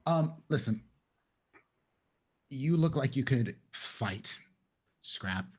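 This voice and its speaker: Man, medium pitch, tabletop roleplay player, casual improvised delivery. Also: lively intonation, very slow, husky.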